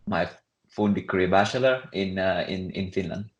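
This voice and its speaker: A young man from Vietnam with a Vietnamese accent, answering interview questions casually and haltingly, with lots of filler words. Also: low-pitched, highs cut off. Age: young adult. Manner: halting, conversational, many fillers and hedges. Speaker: interview guest, international student. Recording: echoey room sound.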